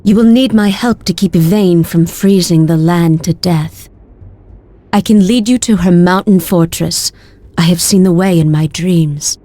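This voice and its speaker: An actress playing a solemn, fading nature priestess in a video game, with a breathy, husky voice and grave, measured delivery. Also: female voice, on the low side, dark tone, no room sound, close to an everyday voice. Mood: solemn, calm. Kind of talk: dramatic video game acting.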